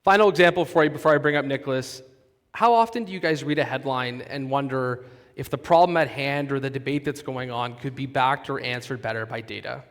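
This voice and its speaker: A man's voice: medium pitch, fairly quick, a bit bright. A man giving a polished conference talk, explaining case studies and then moderating an onstage conversation. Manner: polished, explanatory, with occasional restarts. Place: large conference hall. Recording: long reverb of a conference hall.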